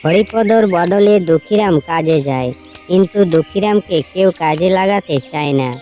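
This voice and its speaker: A woman's voice: lowish voice, normal speed, mellow, faint music behind. A woman narrator reading a scripted story for a cartoon.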